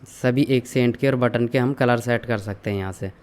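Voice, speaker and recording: A young man calmly walking viewers through an online store setup, step by step, mixing English terms into his explanations. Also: medium pitch, normal speed. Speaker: online tutorial instructor. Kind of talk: screen-share software walkthrough.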